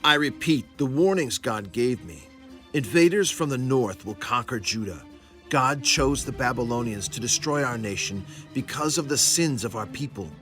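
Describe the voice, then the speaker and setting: Stern, impassioned prophet, male actor, declamatory and lively in intonation, for a dramatized Bible story. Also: medium pitch, moderate pace, faint music behind, lightly acted. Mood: urgent, solemn. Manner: solemn, declamatory.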